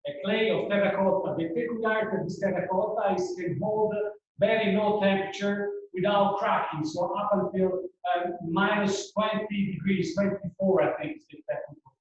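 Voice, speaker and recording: A man running a tour company, introducing guests with many pauses and fillers. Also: sits high, fairly even intonation. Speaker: tour operator and host. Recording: echoey dull audio from far.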